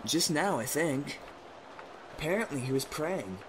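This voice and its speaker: A man's voice: fairly high pitch, animated, unhurried, noisy background. A man in an anime fan dub voicing a chatty young male player who passes on gossip casually. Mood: gossipy.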